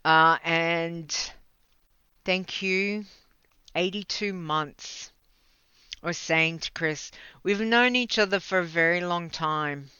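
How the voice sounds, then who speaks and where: An Australian host talks unhurriedly in a bright, smooth voice, drifting off on tangents and laughing between words. The sound is dry and a little dull, like a modest home mic. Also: mid-range voice, takes it slowly, dry recording. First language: English. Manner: rambling, laughs often, stumbles over words. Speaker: livestream host. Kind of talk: casual chat with viewers.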